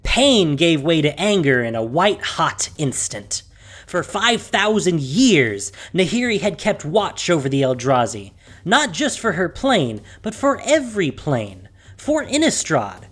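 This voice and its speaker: A woman's voice: low voice, big pitch swings, unhurried, breathy edge. A woman narrator reading a scripted fantasy story in an intense, emphatic, theatrical style.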